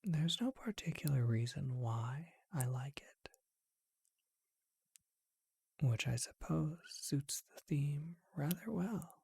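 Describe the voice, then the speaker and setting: A performer voicing a charming vampire who tells an old tale in a gentle, breathy ASMR whisper, unhurried and reflective. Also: medium pitch, dry recording, exaggerated voice. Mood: calm, reflective.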